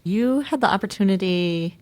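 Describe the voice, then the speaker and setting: A woman podcast host asking an interview guest thoughtful, measured questions. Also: medium pitch, animated, a little mellow.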